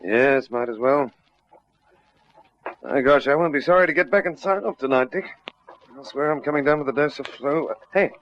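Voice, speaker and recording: An actor playing a tired, grumbling police sergeant on night shift, in an old radio drama. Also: male voice, mid-range voice, normal speed, pauses often, a bit bright, lightly acted. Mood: tired, watchful. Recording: dull, noisy old radio recording.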